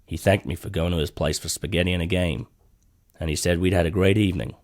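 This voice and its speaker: A man voicing a cynical, cold, hard-boiled cop in a brooding, terse noir story narration, with a low, gravelly voice. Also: fairly even intonation, quick pace. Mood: cynical, remorseless. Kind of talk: noir first-person scripted narration. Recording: music bed behind the voice.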